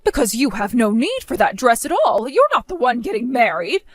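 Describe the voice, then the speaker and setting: A woman voicing a stern, belittling middle-aged mother in an animated story, with a cold, scolding manner. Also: fairly high pitch, fairly quick. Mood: contemptuous.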